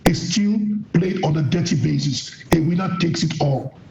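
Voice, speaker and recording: A hoarse man calling in as a security expert, his voice a little high and worn down. He lays out his views in a measured, firm way. The remote line sounds dull and roomy, with faint noise behind it. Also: a little mellow. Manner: measured, opinionated. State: voice worn, unwell. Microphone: remote phone or online link.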